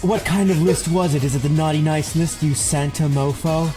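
An actor voicing a suave, sensual male hedgehog rival in an improvised comedy game fandub, deadpan and self-satisfied. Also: medium pitch, moderate pace, natural voice. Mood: dry, competitive. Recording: game music under the voice.

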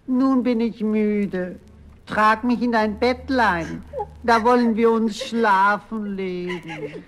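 High-voiced, insistent talking frog. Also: female voice, slow. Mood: demanding. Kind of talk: fairy-tale radio play acting.

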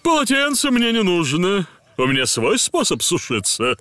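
A man's voice: high voice, wildly swooping pitch, normal speed, a bit bright, no echo, full character voice. A man voicing a big, jolly, boastful cartoon daddy pig, pompous and good-humoured. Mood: self-assured. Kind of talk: dubbed preschool cartoon acting.

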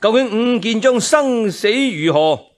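A man's voice: sits high, lively intonation, measured pace. A man with a Cantonese accent narrating as a storyteller in a dramatic, traditional radio style.

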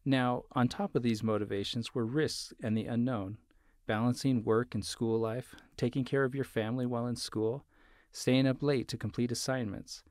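A man's voice: medium pitch, steady tone, normal speed, a little mellow, dry recording, clean studio sound. A man formally reading a prepared commencement speech aloud. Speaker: staff member reading a student's speech.

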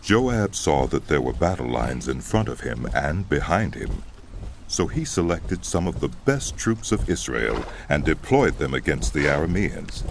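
A man narrating a dramatized scripture audiobook in a solemn, deliberate storytelling style. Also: rumbling low, sing-song, creaky, slight background noise. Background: music.

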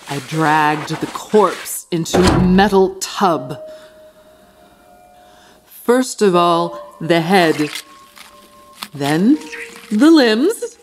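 An actress playing an obsessively tidy, murderous housekeeper, a genteel lady narrating a Gothic radio play in a theatrical, deliberate way. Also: on the low side. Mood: agitated, relieved. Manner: theatrical, deliberate, repeating words for emphasis.

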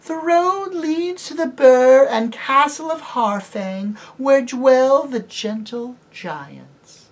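A woman's voice: high voice, takes it slowly, few pauses, pitched above the performer's own voice. A woman voicing a gracious noble lady on horseback who trills her R's and speaks in lilting, old-fashioned courtly phrases. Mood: gracious persuasive. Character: sweet-voiced enchanting lady on horseback, grand and gracious.

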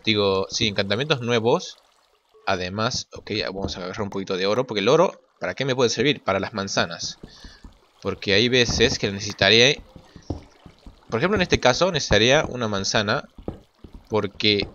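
Low-voiced man doing muffled gaming commentary. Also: sharp tone. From Argentina. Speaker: gaming video creator. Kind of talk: casual let's play commentary. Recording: muffled lo-fi mic, clear noise and clicks.

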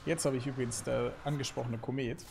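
A man in his thirties from Germany, commenting on his own gameplay in an excitable way, full of fillers, at a desk at home. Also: mid-range voice, fast talker, vocal fry, noisy background. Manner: lively, excitable, talks through play with fillers. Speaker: gaming YouTuber. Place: home streaming setup, indoors.

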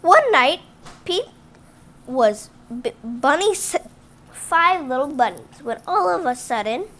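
Female read-aloud host, high and bouncy pitch, unhurried, halting reading with chatty asides; phone mic over a tabletop at home. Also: sing-song, fairly bright tone. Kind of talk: reading a picture book aloud to children. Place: indoors at home, book on a table.

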